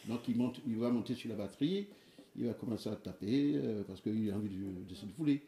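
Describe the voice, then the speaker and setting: A man who runs open music sessions, explaining hesitantly with many pauses. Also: fairly low pitch, warm tone, echoey room, slight background noise. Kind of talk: conversational interview answer.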